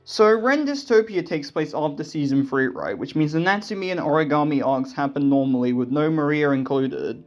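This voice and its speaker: A man's voice: on the high side, expressive, normal speed, few pauses, faint music behind. A man giving casual scripted commentary as a video essayist, with a sarcastic, self-deprecating manner and some swearing.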